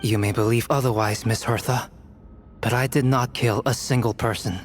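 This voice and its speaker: A man playing a calm, detached young knight on trial in a fantasy game. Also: mid-range voice, normal speed, close to an everyday voice. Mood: calm, defensive. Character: calm, stoic young knight accused of murder. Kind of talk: scripted fantasy game cutscene acting.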